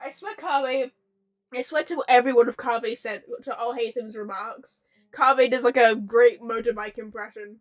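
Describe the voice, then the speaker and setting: High-voiced woman chatting over a game. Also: fairly even intonation, moderate pace. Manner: repeats phrases, chatty asides. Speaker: livestreamer. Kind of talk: casual game commentary.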